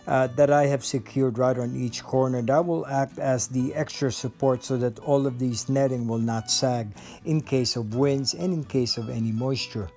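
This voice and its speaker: A man narrates his vegetable garden with open enthusiasm. His voice sits at an ordinary middle pitch, and a faint guitar plays softly behind him. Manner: enthusiastic, explanatory, with some fillers. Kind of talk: voice-over garden tour narration. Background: soft guitar music.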